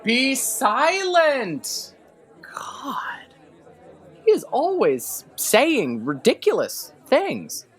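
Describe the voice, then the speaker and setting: A woman's voice: sits high, wildly swooping pitch, extremely slow, husky, heavily acted. A woman playing a blunt, impatient soldier in an audio drama, conversational and matter-of-fact. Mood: blunt, dry. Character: blunt, impatient soldier calmly recounting grim gossip.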